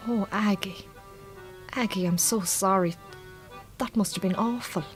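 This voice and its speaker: An actress playing a chatty, kindly middle-aged Irish farmer's wife in a radio drama, with an Irish accent and a frank, colloquial manner. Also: female voice, medium pitch, a little slow, soft background music, lightly acted. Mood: sympathetic, sad. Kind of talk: naturalistic radio drama acting.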